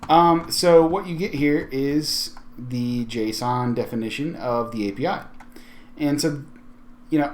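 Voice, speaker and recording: Male tutorial host, mid-pitched, conversational and explanatory, walking through software on screen. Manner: conversational, explanatory, thinking aloud.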